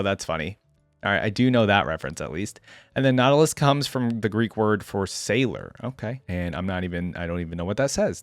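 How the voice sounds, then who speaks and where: A man's voice: medium pitch, no echo, studio quality. A man hosting a reaction video, chatting casually to viewers and reading bits aloud with commentary. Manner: chatty, addresses viewers directly. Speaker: host of a game character reaction video.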